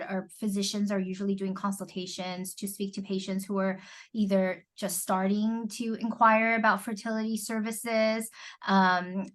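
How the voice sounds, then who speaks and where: American woman doctor, middle aged, chatting in an interview, normal pitch, says you know a lot. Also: fairly bright tone. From Southern California, born in Taiwan. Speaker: fertility doctor, interview guest. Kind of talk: conversational interview answers.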